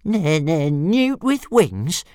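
A squeaky stammering little dragon. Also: male voice, sing-song, very slow, darkish tone, no echo, studio quality, heavily acted. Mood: cheeky stammering. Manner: stutters, high and squeaky. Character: small stammering young male dragon, cheeky.

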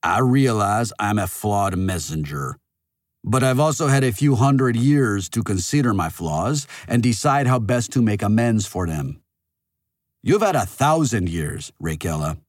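A man's voice: lowish voice, big pitch swings, unhurried, no room sound, clean studio sound, pitched above the performer's own voice. A man voicing a centuries-old artificial male monarch in an audiobook, pompous and morally certain, with a theatrical, lecturing manner. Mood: emphatic, lecturing.

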